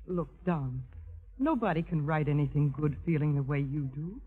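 An actress playing a patient, loving young wife who pleads and coaxes earnestly, husky-voiced, in 1950s radio drama style. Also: female voice, low voice, moderate pace. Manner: earnest, soothing, coaxing. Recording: muffled, tinny 1950s radio recording, faint music.

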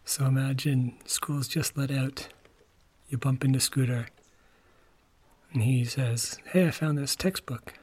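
A man speaking in a soft, gentle, breathy whisper as he introduces a bedtime podcast. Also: medium pitch, steady tone, measured pace. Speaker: podcast host introducing an episode. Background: music.

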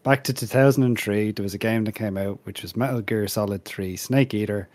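A man with a fairly low voice hosts a casual panel show. He moves between segments in a dry, chatty style, and the sound around his voice is dry with little room. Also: fairly quick, a little mellow, dry recording. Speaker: podcast host.

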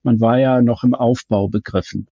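A man's voice: mid-range voice, steady tone, moderate pace, no room sound, highs cut off. A man explaining things in detail as an interview guest, with precise, somewhat bookish wording. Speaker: fan website co-founder, podcast guest.

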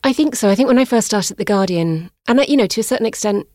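A woman's voice: medium pitch, animated, fast, a little mellow, no room sound. A woman in her forties from north-west England, a guest in a studio interview, speaking thoughtfully and searching for her words with restarts. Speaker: guest, music journalist. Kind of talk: reflective conversational interview.

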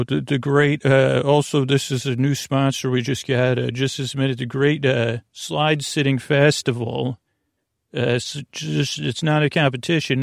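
A gravelly man with a mid-pitched voice hosts a bedtime podcast, recorded close and dry. He meanders in a halting, long-winded way, starting thoughts and wandering away from them. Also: no echo. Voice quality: creaky, gravelly. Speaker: podcast host. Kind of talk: rambling bedtime sleep podcast monologue.

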